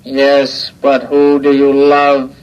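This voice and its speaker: A man acts out an obedient robot henchman for a radio play. The delivery is utterly monotone and slow, with a clean, smooth tone. It sounds childlike and naively literal. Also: mid-range voice, muffled recording, lightly acted. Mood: naively curious. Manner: flat, measured monotone delivery. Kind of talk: old-time radio drama acting.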